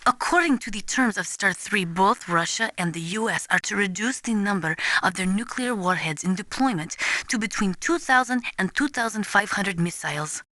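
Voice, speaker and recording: A serious female analyst briefing an agent in a video game. Her voice is bright and forceful, recorded very dry and close. She explains everything formally, in measured and precise phrasing. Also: mid-range voice, animated, no room sound. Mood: serious, explanatory.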